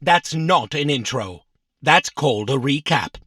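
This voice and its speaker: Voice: medium pitch, animated, a little slow, fairly bright tone, slightly rough, no room sound, studio quality. An actor playing a literal-minded, childlike robot companion in a children's audio drama. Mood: matter-of-fact. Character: literal, indignant, eager robot companion, childlike son of inventor. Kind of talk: children's audio fiction acting.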